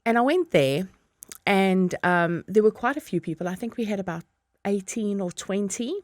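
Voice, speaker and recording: A woman's voice: medium pitch, lively intonation, normal speed, no room sound, clean studio sound. A South African woman guest on a podcast, chatting casually, laughing often and acting out her own past thoughts. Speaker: podcast guest, business owner. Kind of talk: casual interview chat.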